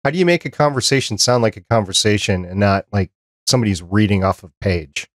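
Low-voiced middle-aged man hosting a podcast. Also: fast talker, no room sound, studio quality. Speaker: podcast host, interviewer. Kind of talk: conversational interviewing. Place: small home studio room, indoors. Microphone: close desk microphone with headphones.

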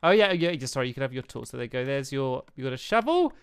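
A woman's voice: a bass voice, sing-song, quick pace, smooth, no echo. A woman chatting and laughing while commentating a video game with a co-host, often repeating words as she restarts. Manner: chatty, giggly, stammering restarts. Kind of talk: casual gameplay commentary.

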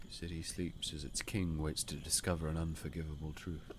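A man voicing a brooding, commanding king in an audiobook, weary and regretful, speaking formally and gravely. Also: a bass voice, flat delivery, a little fast, noisy background. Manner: formal, grave, archaic phrasing. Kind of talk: audiobook character dialogue.

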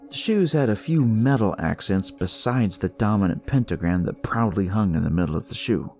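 A man acts out a detective narrating a dark audio story in a low, gravelly voice. He is theatrical yet conversational, recounting it all in the first person, with music playing behind him. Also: lively intonation, moderate pace. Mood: uneasy, wry. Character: male detective recounting a sinister, eerie discovery.

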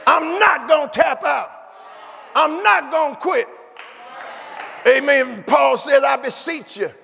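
A male pastor preaches in a very high, gravelly voice, shouting his lines with fervent repetition. The sound carries a long church reverb, and a congregation is faintly audible behind him. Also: takes it slowly, a faint crowd, slight background noise. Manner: fervent call-and-response preaching, repeats phrases. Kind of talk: shouted sermon. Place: church sanctuary. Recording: echoey hall with congregation.

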